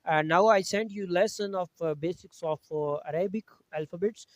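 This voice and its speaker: A man from Pakistan whose first language is Urdu, teaching a Quran lesson over a video call in halting English with many pauses. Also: high voice, no echo. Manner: halting English with frequent pauses and throat-clearing.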